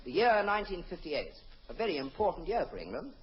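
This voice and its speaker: A man's voice: high voice, sing-song, quick pace. A man performing radio sketch comedy as a theatrical mock announcer. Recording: dull, noisy old radio, studio audience.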